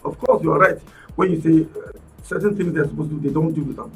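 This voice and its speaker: Man, fast and a bit high, forceful, lecturing, distant mic, faint music. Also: breathy edge. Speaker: radio panel guest. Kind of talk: radio panel discussion.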